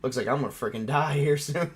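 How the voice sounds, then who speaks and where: A man's voice: mid-range voice, brisk, a crowd in the background. A man acting as game master, narrating and improvising a tabletop game in a casual, profane, informal way.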